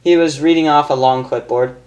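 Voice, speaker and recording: A man's voice: on the high side, steady tone, a little fast, darkish tone. A man narrating a story as an amateur reader, often stumbling and repeating words. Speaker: fan fiction reader.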